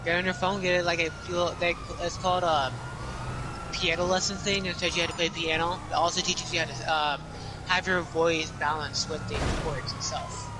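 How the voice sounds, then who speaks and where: A man chatting casually during an online game, rambling and halting with many fillers. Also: fairly high pitch, big pitch swings, noisy background. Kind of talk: casual chat while gaming.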